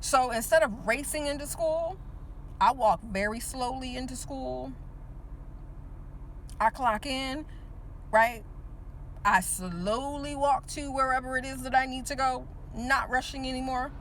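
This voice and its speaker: A woman's voice: sits high, measured pace, pauses often, a bit bright, slight background noise, low-quality recording. A woman in her forties talking to the viewer in a casual motivational monologue, often checking in with "right?" and repeating words for emphasis. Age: 40s. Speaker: teacher and motivational speaker.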